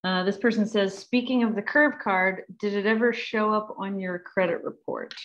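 A woman's voice: medium pitch, steady tone, normal speed, highs cut off. A woman in her thirties chatting casually as she hosts and reads questions on a group video call. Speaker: host reading audience questions. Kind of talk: casual chat.

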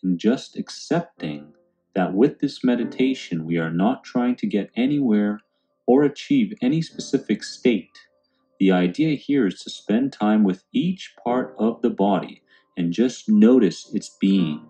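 A man calmly guiding a meditation in a soothing, unhurried way. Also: fairly low pitch. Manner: calm, soothing, unhurried instruction. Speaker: meditation guide. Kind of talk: guided meditation narration. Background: background music.

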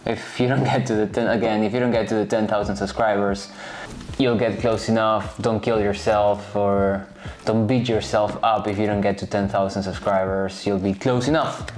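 Spanish-native man in his late 20s, lowish. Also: fairly even intonation, normal speed, darkish tone. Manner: direct, self-correcting, offhand asides. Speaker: vlogger. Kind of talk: casual talk to camera. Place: bright home room, indoors.

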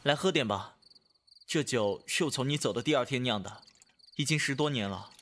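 An actor playing a gentle, concerned young companion in a costume radio drama. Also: male voice, mid-range voice, moderate pace, a little hiss or hum, natural voice. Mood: gentle probing.

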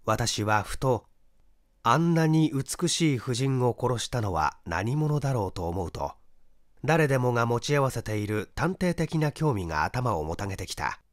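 Expressive male mystery narrator, widely varied pitch. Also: normal speed, no room sound, studio quality. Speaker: story reader, stage actor. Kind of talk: dramatic audiobook narration of a mystery.

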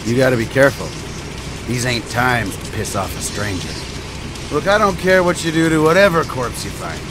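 An actor playing a brash middle-aged American drifter in a video game, talking in a taunting, cocky, folksy way. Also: male voice, mid-range voice, lively intonation, moderate pace, over music, close to an everyday voice. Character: brash, needling redneck drifter in a pickup truck. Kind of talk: naturalistic video game acting.

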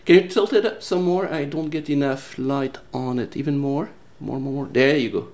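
A man's voice: mid-range voice, moderate pace, a little mellow. A man chatting and narrating casually as the host of an electronics workshop video. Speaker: host of electronics restoration channel.

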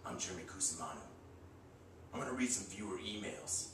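A man's voice: sits low, animated, a little fast, fairly bright tone, slight background noise. A young man in his twenties co-hosting a comedy sketch, reading viewer emails in a brash, crude rant. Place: small indoor room against a bare wall. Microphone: far camera. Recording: echoey room from far off.

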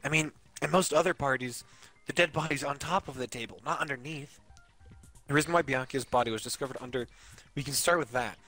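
A man's voice: medium pitch, a little fast, pushed and bright, soft background music. A man reading game dialogue in a jokey, loose amateur fan dub with friends.

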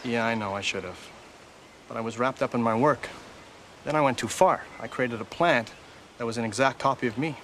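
A man's voice: mid-range voice, fairly quick, a bit bright, slight background noise, natural voice. An actor playing an earnest middle-aged scientist father in a children's horror drama. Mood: calm, explaining. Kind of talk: dramatic screen acting. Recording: music under the dialogue.

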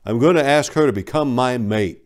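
A man's voice: mid-range voice, normal speed, darkish tone, close to an everyday voice. A man voicing an eager, lovestruck young reindeer, earnest and impetuous, in a story. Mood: earnest, insistent.

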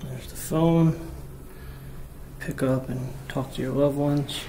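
Flat-voiced man exploring an empty jail. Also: takes it slowly, rough recording. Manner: low-key, conversational, pointing things out. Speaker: urban explorer host. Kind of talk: casual walkthrough narration. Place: large empty jail building indoors. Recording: camera audio with steady background noise.

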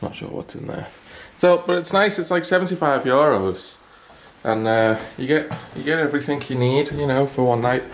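A man's voice: medium pitch, moderate pace, slight background noise. A man chatting casually into a handheld camera as he walks through an apartment and out to his car, rambling and self-deprecating. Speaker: travel vlogger. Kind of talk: casual handheld vlog narration. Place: apartment, stairwell and icy outdoor car park. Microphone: handheld camera mic.

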